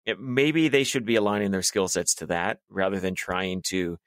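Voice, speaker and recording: Deep-voiced man, measured and dry. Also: expressive, no room sound. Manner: measured, thinking aloud. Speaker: co-host. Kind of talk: political panel chat.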